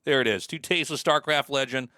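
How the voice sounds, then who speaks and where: A man's voice: medium pitch, fast, sharp tone, no echo, clean studio sound. A man hosting a gaming channel, giving casual reaction commentary as a streamer.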